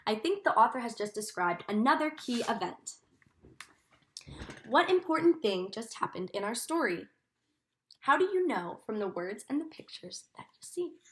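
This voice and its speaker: A woman teacher reading a storybook aloud expressively, in a deliberate, instructive manner. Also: on the high side, very animated. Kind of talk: expressive storybook read-aloud with comprehension questions.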